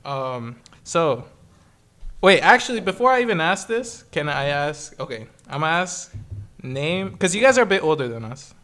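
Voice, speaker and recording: A man's voice: on the high side, sing-song, normal speed, a bit bright. A man of twenty-one hosting a casual podcast, loose and jokey, laughing easily. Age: 20s. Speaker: podcast host.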